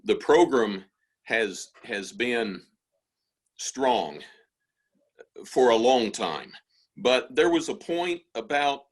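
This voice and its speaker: A man's voice: medium pitch, lively intonation, very slow, pauses often. Hesitant man interviewing on a video call. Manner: rambling, hesitant questions. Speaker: associate athletic director hosting the series.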